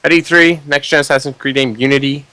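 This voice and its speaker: एक युवा आदमी, podcast host, मज़ाकिया और बेबाक अंदाज़ में खुलकर गालियाँ देते हुए बात करता है।. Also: male voice, mid-range voice, fairly even intonation, quick pace, sharp tone, no room sound. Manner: brash, joking, swears freely. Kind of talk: casual chat.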